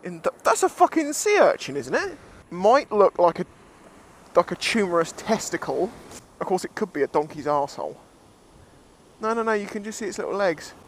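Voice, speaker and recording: A man's voice: high-pitched, sing-song, normal speed, slight background noise. A British man giving casual, jokey, sweary commentary as he vlogs outdoors on a seashore. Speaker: beachcombing vlogger.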